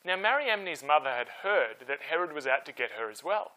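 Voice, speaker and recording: A man tells history as a storytime host, with a bright, forceful voice sitting slightly high. His intonation swings widely, and the scripted narration stays conversational and emphatic. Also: big pitch swings, normal speed, rough recording.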